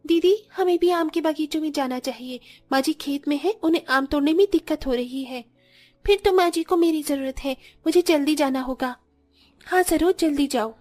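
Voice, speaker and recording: A woman's voice: sits high, moderate pace, a little mellow, soft background music, natural voice. A woman voicing a young, devoted daughter-in-law in a moral story, polite, eager to help and reassuring. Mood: eager, helpful. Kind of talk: scripted Hindi moral story voice acting.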